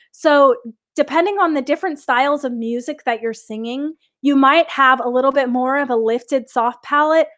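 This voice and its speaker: Upbeat high-voiced woman singing teacher. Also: fairly bright tone. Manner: chatty, upbeat, thanks viewers by name. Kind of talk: live online class answering viewer questions.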